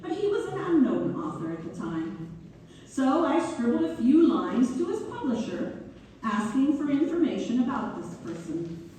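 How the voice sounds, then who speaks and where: A woman playing Mabel, a genteel pianist turned writer, reminiscing in measured theatrical narration. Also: high voice, sing-song, mellow. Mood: fondly amused. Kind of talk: theatrical first-person narration, read-through. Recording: distant mic in very echoey hall, faint noise.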